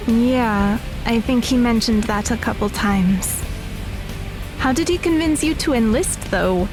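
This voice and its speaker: A woman playing a guarded, downcast young woman in an audio drama, speaking in a hesitant, measured way. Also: a little high, over music, lightly acted. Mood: guarded, surprised. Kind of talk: scripted audio drama dialogue.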